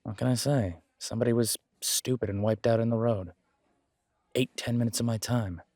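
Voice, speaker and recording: Man acting a husky, wary, sardonic mechanic. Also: medium pitch, steady tone, normal speed, darkish tone, no room sound, clean studio sound, natural voice. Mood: wry, defensive. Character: wary, sardonic male werewolf mechanic, blunt but polite. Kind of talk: audio roleplay drama dialogue.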